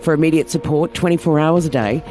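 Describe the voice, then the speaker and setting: A man co-hosting a podcast, speaking sincerely and reading a measured closing message. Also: on the high side, a little mellow, faint music behind. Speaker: podcast co-host. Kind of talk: warm-toned interview remarks and scripted outro.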